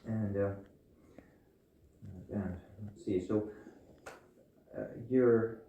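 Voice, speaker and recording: A low-voiced man asks questions in an official interview. He feels his way through sentences politely and reassuringly, pausing often. The room rings with echo, with faint noise underneath. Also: steady tone, warm tone. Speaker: interviewer assessing psychiatric need.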